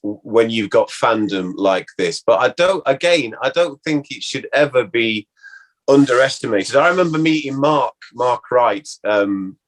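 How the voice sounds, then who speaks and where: Halting, reminiscing male guest. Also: medium pitch, expressive, a bit bright, low-quality recording. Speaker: interview guest, actor. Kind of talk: casual reminiscing conversation.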